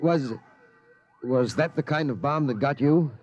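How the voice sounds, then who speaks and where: An actor playing a worried, bewildered middle-aged American father in a vintage radio drama, speaking in a halting, incredulous way. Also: mid-range voice, a little mellow, muffled recording, lightly acted. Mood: stunned, hesitant. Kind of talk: 1940s-50s radio drama acting.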